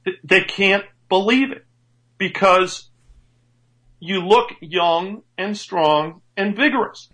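Fifties strength coach guy, high bright voice, eager, keeps restarting his sentences. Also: takes it slowly. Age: 50s. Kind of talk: casual radio show chat.

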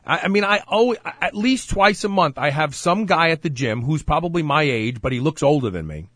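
A man's voice: a little high, animated, brisk, fairly bright tone, dry recording, studio quality. A man in his fifties hosting a radio talk show, chatting energetically and proudly about getting older. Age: 50s. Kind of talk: casual radio show chat.

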